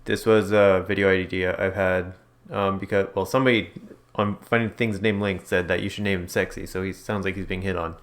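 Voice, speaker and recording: A young man giving casual, rambling commentary while playing a game, in a fairly monotone way. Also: low voice, brisk. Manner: rambling, self-described monotone. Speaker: let's play host.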